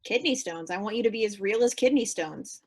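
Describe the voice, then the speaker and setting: An actress in a staged play reading, playing a brash, eager, childlike woman who pushes a deal with cheeky excitement. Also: mid-range voice, fairly quick, husky, lightly acted. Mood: pushy, insistent.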